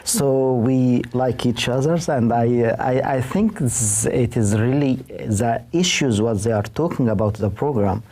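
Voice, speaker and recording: A man with an Egyptian accent, answering interview questions in an earnest, halting way. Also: medium pitch, dark tone. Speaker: director of a TV station's Arabic department.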